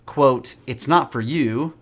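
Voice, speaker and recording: A man's voice: medium pitch, slow pace. A man co-hosting a podcast in an easygoing, joking way, reading a short blog post aloud. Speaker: podcast co-host.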